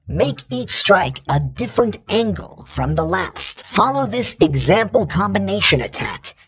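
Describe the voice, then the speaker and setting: An actor voicing a stern, precise male training droid in a video game, giving clipped, formal combat instructions in a raspy voice with robotic processing. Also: on the high side, a bit bright, full character voice. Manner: terse, formal, imperative, emotionless correction.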